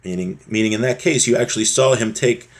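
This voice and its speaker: Husky-voiced man teaching a class. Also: mid-range voice, fast. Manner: repeats and rephrases, checks in with "right?". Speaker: religious teacher giving a class. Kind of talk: informal explanatory lesson reading from a text.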